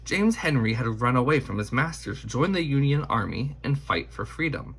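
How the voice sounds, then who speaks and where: A man reads a storybook aloud with deliberate emphasis. His voice is bright and forward with a rough, husky grain, and his pitch moves in an animated way from phrase to phrase. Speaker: picture book reader. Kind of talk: scripted storybook read-aloud.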